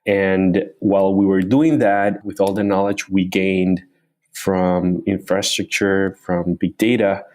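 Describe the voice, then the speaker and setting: Low-voiced man. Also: flat delivery, slow pace, a little mellow. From Colombia. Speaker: guest, company founder. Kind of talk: interview answers.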